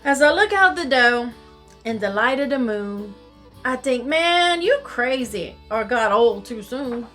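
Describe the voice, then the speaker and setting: Woman acting a folksy Cajun storyteller, theatrical singsong verse, unhurried, bright, children's storybook, soft music behind. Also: a little high, animated. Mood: excited. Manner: theatrical, singsong rhymed telling in dialect. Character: excitable, folksy Cajun storyteller of a Christmas tale.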